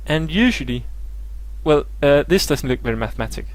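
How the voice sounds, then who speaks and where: A man's voice: on the high side, lively intonation, fairly quick, no room sound, poor audio. Hesitant young Danish man teaching maths. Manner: hesitant, many fillers and restarts. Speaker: maths tutor and host. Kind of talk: casual live tutorial.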